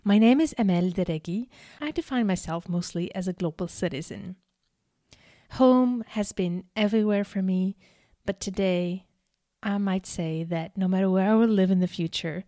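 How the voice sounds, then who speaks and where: A woman in her thirties, from Algeria, giving an earnest, deliberate storytelling speech with emphatic repetitions. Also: medium pitch, warm tone, no echo, clean studio sound. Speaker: speaker giving a talk. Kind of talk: prepared personal storytelling speech.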